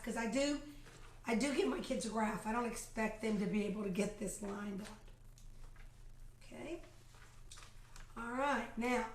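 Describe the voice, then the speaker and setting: A woman with a slightly raspy voice, demonstrating classroom math activities in a casual, chatty way and counting aloud as she goes. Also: a little high, echoey room. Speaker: kindergarten teacher showing math activities. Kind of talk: casual live video demonstration. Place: home, a phone ringing in the house.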